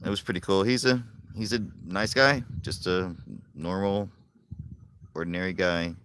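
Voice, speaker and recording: A man's voice: sits low, fairly even intonation, normal speed, fairly bright tone, clean tone, no room sound. A man from Florida hosting a casual live chat outdoors, rambling and chuckling as he talks with viewers. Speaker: livestream host. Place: outdoors at night.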